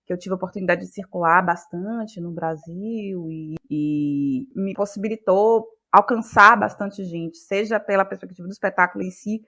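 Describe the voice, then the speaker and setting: A woman talking as an interview guest, explaining her work in a thoughtful, expressive conversational way. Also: mid-range voice, normal speed, few pauses. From Brazil, Bahia. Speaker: guest, playwright and actress.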